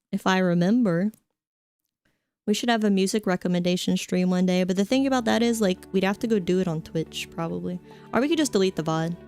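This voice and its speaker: A woman's voice: mid-range voice, a little mellow. A young adult woman streamer chatting casually, rambling with lots of fillers and offhand jokes. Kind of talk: casual chat stream, reading chat.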